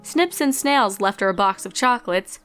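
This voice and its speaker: A woman narrates a story in a mid-pitched voice. Her delivery is scripted and expressive, in the manner of a fiction reading, with music playing behind her. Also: moderate pace, clear, resonant tone. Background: background music.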